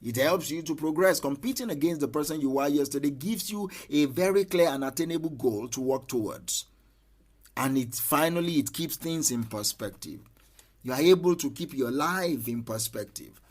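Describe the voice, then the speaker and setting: A man's voice: fairly high pitch, normal speed. A man, a mindset coach giving an earnest motivational talk and repeating key lines for emphasis.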